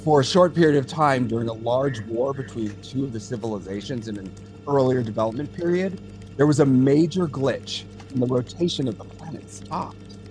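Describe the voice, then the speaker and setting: A man plays a seasoned alien technician in an audio drama. He is patient and thoughtful, explaining in a measured way at a middle pitch, with music faintly underneath. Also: darkish tone, close to an everyday voice, rough recording. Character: experienced alien data center technician, male, patient, thoughtful.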